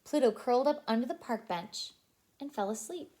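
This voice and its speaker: A woman reading a story aloud to young children as a childcare storyteller, expressive and animated. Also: fairly high pitch, normal speed. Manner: animated, asks listeners questions.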